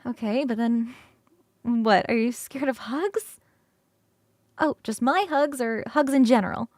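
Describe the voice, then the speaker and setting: A woman performing an ASMR roleplay as a playful, affectionate succubus roommate who talks straight to the listener in a coaxing, halting, expressive way. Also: a little high, no room sound, studio quality. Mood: concerned, gentle. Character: affectionate, playful succubus roommate, young-sounding, eager to cuddle. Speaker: streamer performing scripted roleplay.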